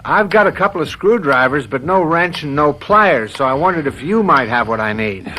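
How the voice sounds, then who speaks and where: An actor in a radio drama playing a plain-spoken, practical warden who is easily bewildered. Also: male voice, a little high, expressive, normal speed, sharp tone, slight background noise, rough recording. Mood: matter-of-fact.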